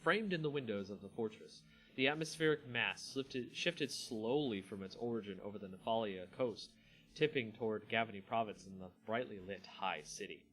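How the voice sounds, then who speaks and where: A man's voice: lowish voice, moderate pace, fairly bright tone, poor audio. A man hosting a dramatic reading of a fantasy story, narrating with casual asides. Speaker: channel host and story reader. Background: music.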